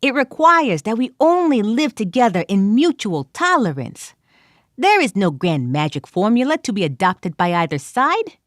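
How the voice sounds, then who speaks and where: Woman as earnest adventurer, formal speechmaking, high lively voice, dry close sound. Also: moderate pace, no echo. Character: earnest adventurer pleading for peace.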